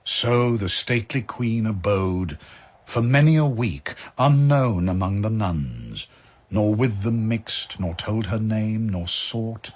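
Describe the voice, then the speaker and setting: Male narrator for verse drama: solemn, very unhurried, breathy and husky. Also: fairly low pitch, animated. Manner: solemn, measured.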